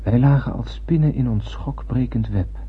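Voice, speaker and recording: An actor playing an earnest, reflective spacefarer who narrates a radio drama in a lyrical way. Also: male voice, mid-range voice, normal speed, husky. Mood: solemn, lyrical. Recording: tinny old radio recording, faint hum.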